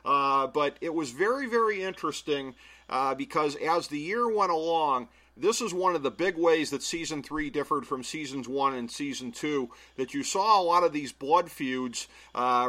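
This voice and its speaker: Bright-voiced podcast host, slightly high. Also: male voice. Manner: measured, filler-heavy analysis. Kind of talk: casual podcast chat.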